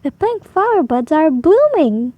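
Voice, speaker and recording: A woman's voice: high-pitched, big pitch swings, a little slow, dry recording, highs cut off. A woman voicing a keen, kindly little brother, a perky small boy in a storybook. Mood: eager, cheering.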